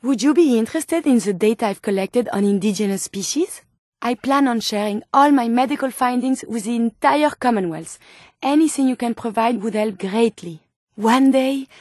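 A woman's voice: a little high, darkish tone, no room sound, studio quality, lightly acted. A woman with a French accent voicing a polite, earnest female robot scientist in a video game, speaking formally and precisely. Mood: earnest, curious. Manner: formal, precise, courteous phrasing without contractions. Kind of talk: video game character dialogue.

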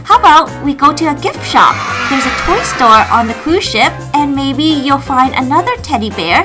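Woman as doll mom, motherly, soothing, higher voice, background music. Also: animated, normal speed. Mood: consoling. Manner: soothing, motherly, fretful about money. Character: kind pregnant young mom, gentle, budget-minded. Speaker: doll story narrator-voicer. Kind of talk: doll play storytelling. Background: children's music.

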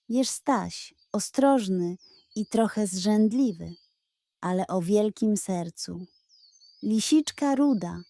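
A woman reads a children's bedtime story as a gentle, expressive storyteller. Her voice is mellow and fairly high, and she takes her time. The recording is close and dry. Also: no room sound.

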